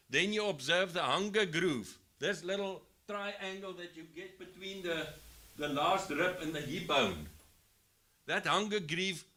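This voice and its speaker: A South African man with a fairly high voice presents to a crowd of farmers at a live event. He explains livestock disease step by step, repeats points and restarts sentences. His voice is loud and carries well. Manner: says he has a loud voice. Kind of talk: live expo talk to farmers. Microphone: handheld mic, later speaks without it.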